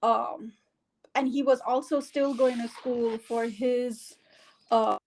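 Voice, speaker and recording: A woman's voice: fairly high pitch, fairly even intonation, slow, darkish tone, low-quality recording. A middle-aged woman from India with an Indian English accent, telling her life story in an interview, with many fillers and restarts. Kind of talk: casual conversational interview.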